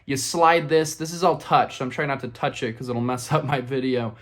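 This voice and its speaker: A man's voice: medium pitch, a little fast, fairly bright tone. Casual man reviewing a product. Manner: informal, conversational, addresses viewers as guys. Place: small indoor room with painted brick wall.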